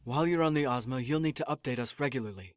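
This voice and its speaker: A man's voice: mid-range voice, fast, no echo, natural voice. A man playing a firm, pragmatic space mission handler with dry authority in an audio drama. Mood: brisk briefing.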